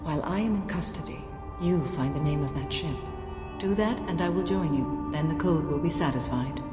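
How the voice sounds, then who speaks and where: A woman acting as a stern, serene alien warrior in a video game, speaking formally and with measured solemnity. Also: low-pitched, natural voice. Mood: solemn, resolute. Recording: echoey hall, music underneath.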